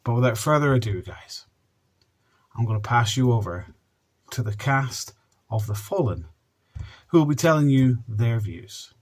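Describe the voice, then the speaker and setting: A man with a mid-pitched voice presents as the host of a storytelling podcast. His delivery is an earnest, spoken introduction aimed straight at his listeners. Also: expressive, a little slow, pauses often. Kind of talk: presenter introduction to camera or audience.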